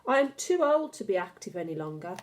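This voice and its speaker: A woman's voice: mid-range voice, sing-song, a little fast, lightly acted. A woman voicing a knowing, assured old grandmother in a storybook, explaining with authority. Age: older. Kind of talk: storybook character reading.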